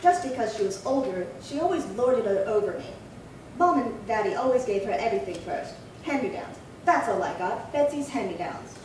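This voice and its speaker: A woman acts a resentful spinster of nearly eighty in a radio crime play. The voice is on the high side, querulous and old-fashioned in its phrasing. It sounds distant, in a very echoey space. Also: lively intonation, normal speed, a little mellow, lightly acted. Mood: aggrieved. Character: resentful, defiant spinster sister aged seventy-nine. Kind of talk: amateur re-creation of 1950s radio crime drama. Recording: roomy echo, distant mic, faint hiss.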